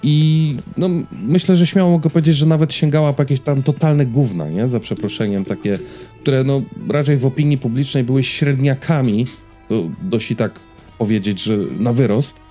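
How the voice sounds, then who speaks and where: Casual male podcast host, thirties, mellow timbre, hesitant rambling delivery, faint music bed. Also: medium pitch, moderate pace. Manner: rambling, hesitant, repeating words as he goes. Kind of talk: casual chat about personal habits and series.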